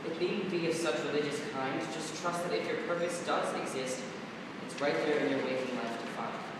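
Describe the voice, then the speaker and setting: Guy acting a proud hurt dream lover, theatrical measured verse, lightish voice, big echoey noisy hall. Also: steady tone, lightly acted. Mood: earnest, philosophical. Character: Sandman, proud, wounded male dream lover with cutting wit. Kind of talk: staged reading of a verse play. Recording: distant, echoey hall, rumbling room noise.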